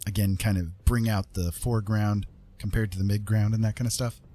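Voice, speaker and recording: A man's voice: on the low side, fairly even intonation, fairly quick, mellow. A man chatting casually as he works on art as a streamer, rambling and thinking aloud in a hedging way. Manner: laughs often. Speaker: livestream host, digital artist. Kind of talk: casual chat while making art.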